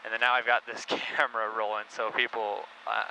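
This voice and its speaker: A man with a fairly low, bright, forward voice talks casually, as if hosting a car-build vlog. He explains things informally. Faint vehicle noise runs behind him inside the cabin. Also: level tone, a little fast, vocal fry, poor audio. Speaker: host of a car-build video channel. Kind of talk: casual product-review vlog talk.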